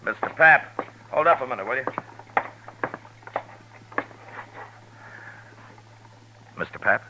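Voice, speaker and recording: An American man playing a firm, blunt Old West marshal with a commanding, steady manner in a 1950s radio western. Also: mid-range voice, quick pace, close to an everyday voice. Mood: urgent reassurance. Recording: noisy 1950s radio recording.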